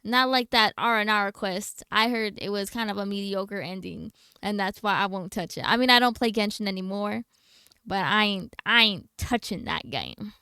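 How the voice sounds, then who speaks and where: A woman's voice: medium pitch, normal speed, bright, forceful tone, clear, resonant tone, dry recording, studio quality. A woman livestreamer chatting casually with her viewers in a playful, slangy, bantering manner. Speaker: video game livestreamer.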